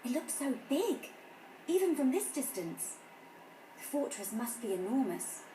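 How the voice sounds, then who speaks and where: A woman playing a gentle, thoughtful young heroine in a fantasy video game. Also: high voice, big pitch swings, a little slow, background noise. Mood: pensive, awed. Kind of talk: video game cutscene acting. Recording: echoey game audio over music.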